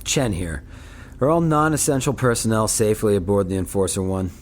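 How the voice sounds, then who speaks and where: Actor doing a dry space captain guy, lowish voice, steady and brisk, faint noise. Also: close to an everyday voice. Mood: commanding. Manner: brisk, matter-of-fact. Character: steady, dry male starship captain giving orders. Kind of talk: audio drama acting.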